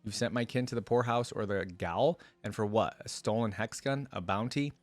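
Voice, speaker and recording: Guy reading a story as a bitter defiant pirate, desperate, lowish voice, dry sound. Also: moderate pace, no echo, soft background music, natural voice.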